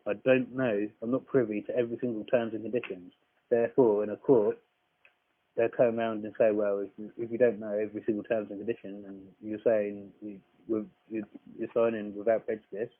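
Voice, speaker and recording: A man's voice: on the low side, no echo. Insistent, blunt man on a dull recording. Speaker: caller disputing a tax account. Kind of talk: argumentative phone complaint. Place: phone call, recorded. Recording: dry, dull lo-fi phone call recording.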